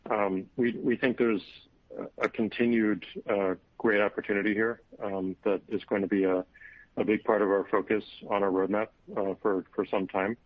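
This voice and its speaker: A man with a fairly low, very even voice answers as a company executive. His phrasing is halting and unscripted. The recording is a narrow, phone-like conference line. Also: dry recording. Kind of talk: unscripted business answer on an earnings call.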